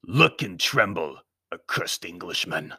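A man's voice: deep voice, lively intonation, slow pace, pushed and bright, husky, no echo, studio quality, exaggerated voice. A man voicing a sinister foreign villain with a guttural accent, pompous and menacing, in a storybook reading. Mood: menacing, grandiose. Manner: pompous, melodramatic threats. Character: sinister foreign villain, fanatical secret-society thug, menacing and theatrical.

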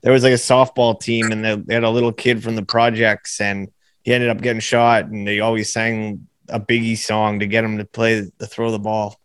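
A man hosting an interview in casual chat, his voice raspy, blunt and swearing freely. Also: fairly low pitch, flat delivery, moderate pace, no room sound. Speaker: podcast host.

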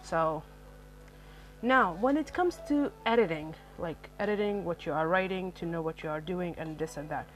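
A woman from Algeria, a native Arabic speaker, talks through writing advice in a loose, meandering podcast monologue. She corrects herself often and leans on fillers, with soft music playing faintly under her voice. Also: lowish voice, normal speed. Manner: rambling, self-correcting, lots of fillers. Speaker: podcast host. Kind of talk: solo podcast talk on writing.

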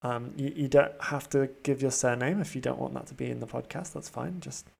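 A man's voice: mid-range voice, brisk, creaky, darkish tone, slightly rough. A man hosting a role-playing podcast as game master, narrating the scene and slipping in dry, wry quips.

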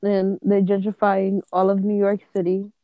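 A woman's voice: mid-range voice, completely flat delivery, normal speed, dry recording, highs cut off. A woman co-hosting a casual podcast chat, dry and teasing. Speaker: podcast co-host.

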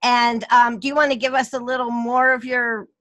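A woman's voice: on the high side, little pitch movement, normal speed, a bit bright, no room sound. A woman hosting a casual interview, bubbly and enthusiastic with frequent "um" and "like". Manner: bubbly, enthusiastic, hesitant fillers. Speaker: host.

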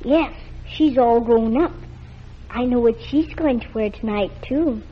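A woman's voice: fairly high pitch, unhurried, a little hiss or hum, close to an everyday voice. An actress playing a chatty nine-year-old girl sick in bed, in 1940s radio acting. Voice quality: girlish and eager. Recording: muffled old radio broadcast.

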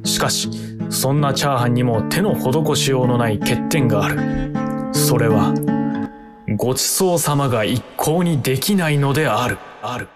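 A man's voice: medium pitch, moderate pace, lightly acted. Guy acting as serious overthinker narrating his life in a radio play, formal wordy phrasing, mid voice, music behind. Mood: earnest. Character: earnest, brooding man who overthinks everyday meals. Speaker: narrator and protagonist. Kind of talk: scripted first-person radio drama narration.

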